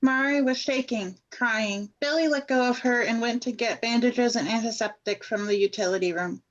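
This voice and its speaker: An actress playing a grave storyteller, giving composed, literary narration for a radio play on a slightly dull recording. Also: female voice, on the high side, moderate pace, close to an everyday voice. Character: grave female storyteller narrator of a family drama. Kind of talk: radio play third-person scripted narration.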